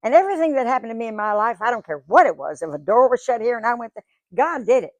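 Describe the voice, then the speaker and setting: A woman's voice: a little high, animated, quick pace, no room sound. A woman in her nineties telling folksy stories of her life to a live audience, chatting and acting out what people said. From Georgia, USA. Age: 90s. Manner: folksy, chatty storyteller who acts out quoted dialogue. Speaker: guest speaker. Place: museum event room.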